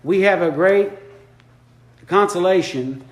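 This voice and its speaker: Fervent preacher, high-pitched man, drawn-out lines, roomy sanctuary. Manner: fervent, repeats lines for emphasis, drawn-out phrases. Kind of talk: impromptu sermon. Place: church sanctuary. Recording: echoey church room.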